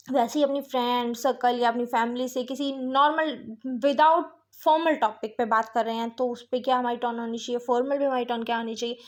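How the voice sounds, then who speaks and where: Indian lady teacher, pretty high voice, goes point by point explaining stuff, mixes in english terms. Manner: mixes Hindi with English terms, often checks understanding with tag phrases. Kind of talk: explanatory lesson, reading points aloud then explaining.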